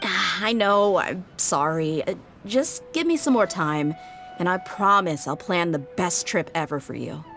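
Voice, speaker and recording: A confident young mercenary woman talks in a fairly low voice, casual and breezy. She is a loyal, easygoing sellsword from a video game, with music underneath. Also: expressive, a little slow, a bit bright. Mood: apologetic.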